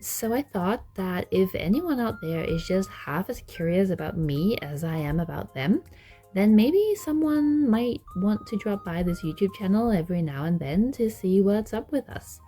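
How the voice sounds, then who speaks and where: A woman from Denmark hosting her own vlog, narrating a measured, clear personal story as a voice-over. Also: medium pitch, expressive, mellow, faint music behind. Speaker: vlogger, channel host.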